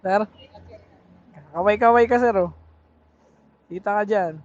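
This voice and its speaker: High-pitched Filipino man vlogging casually. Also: measured pace, music in the background. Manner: informal, addressing viewers with tag questions. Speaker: motovlogger. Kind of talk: casual tutorial vlog. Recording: muffled helmet intercom mic, background noise.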